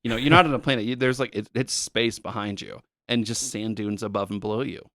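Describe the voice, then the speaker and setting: A man co-hosting a podcast, casually walking through retro game levels with a relaxed, explanatory manner and plenty of fillers. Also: lowish voice, fast, dry recording, studio quality. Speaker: podcast co-host. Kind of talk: casual chat about retro games.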